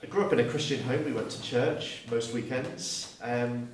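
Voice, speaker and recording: A man's voice: mid-range voice, normal speed, very echoey, recorded from a distance, slight background noise, low-quality recording. A man in his thirties from Surrey with a Southern English accent, giving an earnest, reflective personal testimony and often restarting his sentences. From Surrey, southeast England. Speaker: guest speaker.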